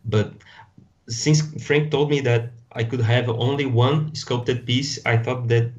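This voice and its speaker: A man's voice: mid-range voice, steady tone, slow, highs cut off. A Brazilian man with a Portuguese accent, a podcast guest talking casually and hesitantly, often restarting words as he looks for them in English. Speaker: guest designer. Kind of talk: casual interview chat.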